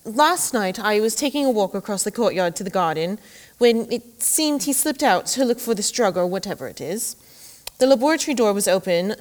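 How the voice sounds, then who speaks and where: A woman's voice: medium pitch, close to an everyday voice. A woman playing an earnest, frightened Victorian household servant in an audio drama, speaking with deference. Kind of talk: dramatic audio play acting.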